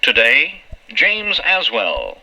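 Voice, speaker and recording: A man's voice: on the high side, wildly swooping pitch, extremely slow, bright, forceful tone. A man announcing as host in a formal, emphatic old-time radio style, reading a scripted introduction. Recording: muffled old radio broadcast.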